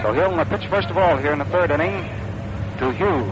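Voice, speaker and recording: An American man calling baseball as a 1940s radio play-by-play announcer, in measured, deliberate phrases. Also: on the high side. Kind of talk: 1940s radio sports commentary. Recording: noisy vintage 1940s radio broadcast. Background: loud steady background noise.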